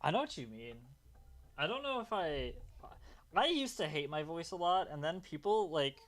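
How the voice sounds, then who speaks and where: A young man streaming a game, chatting casually with a calm, relaxing voice, rambling loosely and swearing often. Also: a little high, moderate pace. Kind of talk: casual gaming stream chat.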